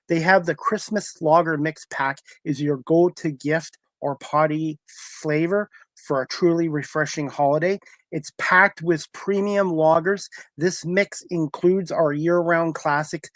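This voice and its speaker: Higher-voiced man reading sponsor spots. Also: no echo. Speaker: talk show host reading sponsor spots. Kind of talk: live sponsor read, conversational.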